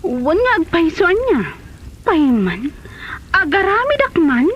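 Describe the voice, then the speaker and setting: A woman's voice: extremely high pitch, very animated, slow pace, slight background noise, exaggerated voice. A woman playing a suspicious, aggrieved wife in an Ilocano comedy drama. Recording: muffled lo-fi audio under clear music.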